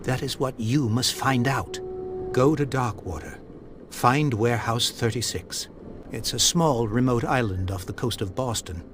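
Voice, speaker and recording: An actor playing a proud, grieving old industrialist in a period mystery game, speaking formally and earnestly. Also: male voice, mid-range voice, measured pace, close to an everyday voice. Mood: solemn, urgent. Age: older. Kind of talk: video game voice acting, period mystery drama. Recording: faint background noise.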